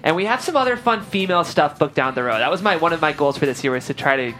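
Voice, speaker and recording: Lively, fast-talking man hosting. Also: a little high, soft background music. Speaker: host of a table-read show. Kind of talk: casual panel chat. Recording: faint background room noise.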